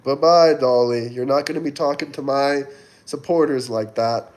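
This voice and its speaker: A man's voice: mid-range voice, normal speed, darkish tone. A man in his twenties from Florida, hosting a casual livestream and answering viewer chat as he reads it out. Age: 20s. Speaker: livestream host.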